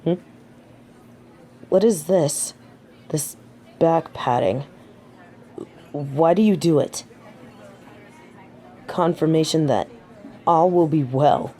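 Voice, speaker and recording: A woman's voice: sits low, takes it slowly, pauses often, warm tone. A woman playing a proud, haughty fallen angel of judgement in a scripted audio roleplay, dramatic and defensive. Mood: haughty, teasing.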